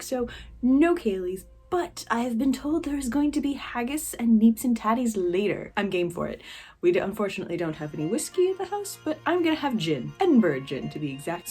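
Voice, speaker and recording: A woman's voice: a little high, warm tone, breathy edge. Chatty young American woman. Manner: chatty, nervous, self-deprecating. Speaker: channel host. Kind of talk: casual chat.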